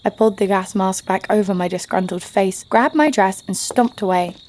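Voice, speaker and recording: A woman's voice: medium pitch, normal speed, darkish tone, a little hiss or hum, natural voice. A woman playing a brash, sardonic young adventurer, blunt and sarcastic, narrating her exploits in an audio drama. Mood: disgruntled.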